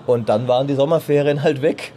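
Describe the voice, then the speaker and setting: A man's voice: medium pitch, fairly even intonation, a little fast, dark tone, a little hiss or hum. A man in his thirties chatting casually and playfully as a games journalist on a trade fair floor, with many fillers and restarts. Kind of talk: casual chat.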